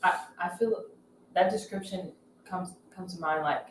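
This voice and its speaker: An American woman gives casual, conversational commentary as a podcast co-host. Her voice sounds slightly distant, with clear room echo and faint noise behind it. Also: on the low side, measured pace.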